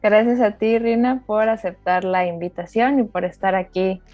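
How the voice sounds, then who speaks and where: A woman's voice: medium pitch, steady tone, normal speed, no room sound, muffled recording, faint music behind. Woman hosting a friendly interview. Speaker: host. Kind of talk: friendly interview, casual chat.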